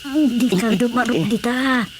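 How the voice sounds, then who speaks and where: A woman's voice: fairly high pitch, moderate pace, natural voice. A woman playing a fussy, nagging female companion in an Ilocano radio drama comedy, full of exclamations. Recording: noisy radio drama mix.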